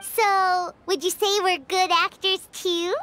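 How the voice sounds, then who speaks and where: A woman voicing a tiny, excitable childlike female companion in a video game, with a squeaky voice. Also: slow, a little hiss or hum, full character voice. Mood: curious. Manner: exclamatory, refers to self in third person. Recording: music behind the voice.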